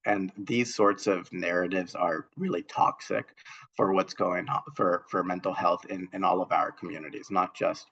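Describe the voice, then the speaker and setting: A young man speaking as a panelist in earnest, measured remarks, with frequent fillers and restarts, heard over a video call. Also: low-pitched, vocal fry, a bit bright. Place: home room on a video call. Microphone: video call mic. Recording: dull lo-fi video call audio.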